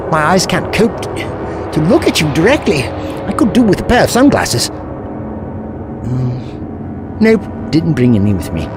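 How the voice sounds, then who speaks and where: A man's voice: mid-range voice, lightly acted. A man playing an eccentric, witty time-travelling Doctor in an audio drama, wry, theatrical and articulate. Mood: flustered, wry. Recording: music behind.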